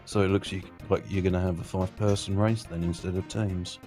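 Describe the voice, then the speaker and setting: A South African man with a very deep voice jokes around on a live stream. He laughs easily and stops and restarts his sentences. Faint music runs in the background. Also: steady tone, fairly quick, warm tone. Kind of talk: casual stream chat.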